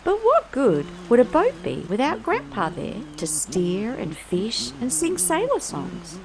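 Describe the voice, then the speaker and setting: A woman reading a children's story aloud with theatrical, emphatic, drawn-out phrasing. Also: on the high side, wildly swooping pitch, creaky, noisy background.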